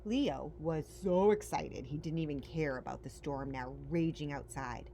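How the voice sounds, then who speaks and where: A woman's voice: low-pitched, measured pace, dark tone. A woman reading a children's picture book aloud as a story-time reader, expressively and with dramatic emphasis. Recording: faint background noise.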